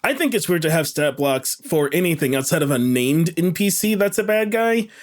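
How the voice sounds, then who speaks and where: Higher-pitched man, wry and conversational. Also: lively intonation, moderate pace. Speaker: co-host. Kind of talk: casual joking panel chat.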